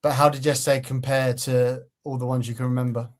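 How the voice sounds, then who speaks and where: Laid-back man co-hosting a fan podcast, steady and low-key. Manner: easygoing, fills pauses with um. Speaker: co-host of a football fan channel. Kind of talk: casual podcast chat.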